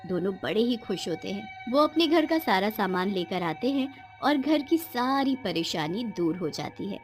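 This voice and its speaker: A woman narrator reading a scripted animated moral tale for listeners. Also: mid-range voice, a little slow, faint music behind.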